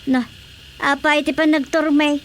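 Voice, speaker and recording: A woman's voice: high-pitched, flat delivery, moderate pace, close to an everyday voice. A woman playing a fussy, questioning village mother in an Ilocano radio drama comedy, with some background noise. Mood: concerned.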